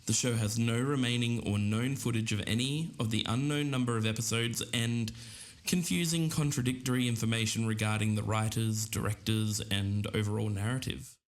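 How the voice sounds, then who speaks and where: A man's voice: medium pitch, steady tone, moderate pace, few pauses, close to an everyday voice. An actor playing a polite, slightly hesitant man who hosts an investigative podcast in an audio drama. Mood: measured. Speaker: podcast host.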